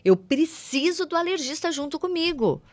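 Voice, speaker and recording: A Brazilian woman with a fairly high voice speaks as a medical guest on a podcast. She teaches through everyday comparisons and rhetorical questions, in a conversational flow. The sound is dry, with no room echo. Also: very animated, normal speed. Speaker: podcast guest, ophthalmologist doctor.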